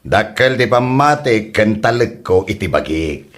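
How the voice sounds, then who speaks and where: A man's voice: on the low side, lively intonation, measured pace, a bit bright. A man with an Ilocano accent playing a boastful, self-assured husband with political ambitions in a comedy drama. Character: boastful husband planning to run for board member.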